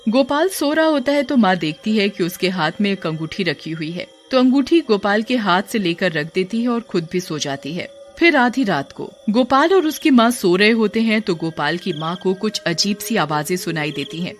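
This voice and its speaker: A woman's voice: mid-range voice, expressive, normal speed, few pauses, faint music behind. A woman working as narrator, reading a scripted children's story.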